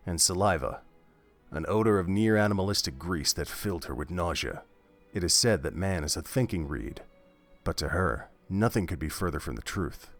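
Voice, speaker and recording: A man reads a story in a measured, expressive way, his voice sitting fairly low. Faint music runs underneath the narration. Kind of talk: scripted audiobook-style narration.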